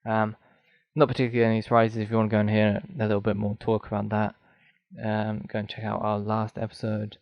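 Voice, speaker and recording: A man's voice: lowish voice, completely flat delivery, brisk, no echo, highs cut off. A man hosting a solo film podcast, rambling and thinking aloud with many ums, his voice getting dry. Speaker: podcast host.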